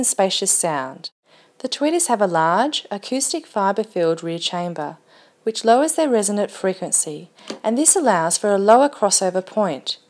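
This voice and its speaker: A woman narrates a product presentation from a script. Her voice sits at an average female pitch, and the delivery is that of an informative promotional read. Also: a little mellow.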